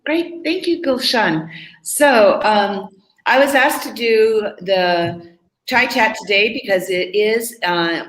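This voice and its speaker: A woman's voice: medium pitch, takes it slowly, fairly bright tone. A woman from Oregon, a casual moderator and English coach, chatting relaxedly with self-corrections over a video call. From Oregon, United States. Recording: echoey room with faint noise.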